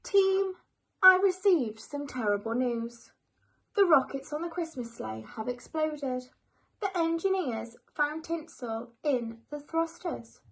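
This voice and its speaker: A woman's voice: high voice, lively intonation, slow pace, heavily acted. A woman voicing an anxious, dramatic Father Christmas in a children's storybook, emphatic and theatrical. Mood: urgent alarm. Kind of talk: children's storybook character reading.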